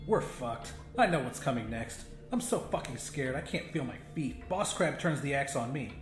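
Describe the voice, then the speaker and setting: A man's voice: medium pitch, animated, normal speed. A man narrating a scripted first-person horror comedy in a conversational, sweary storytelling manner. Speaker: audiobook narrator. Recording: roomy echo with music behind. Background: music.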